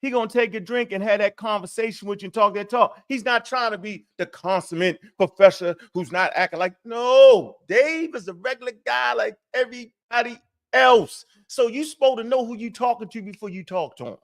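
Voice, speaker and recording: A man co-hosting a live online talk, speaking in an animated way full of streetwise slang and quick rhetorical asides. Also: high voice. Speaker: co-host. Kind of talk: casual live-stream chat.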